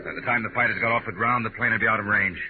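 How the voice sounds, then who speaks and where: An actor playing a grimly determined, commanding army major in a dramatic 1950s radio drama. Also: male voice, fairly low pitch, rapid-fire, lightly acted. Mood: defeated, bitter. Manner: barking, dramatic military delivery. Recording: very noisy, phone-like 1950s radio recording.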